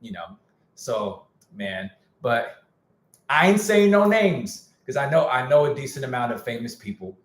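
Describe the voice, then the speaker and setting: A man's voice: medium pitch, expressive, unhurried, roomy sound. A man who produces music, talking casually on a live stream, slangy and candid, saying he is losing his voice. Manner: candid, slangy, repeats himself. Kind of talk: casual livestream rant.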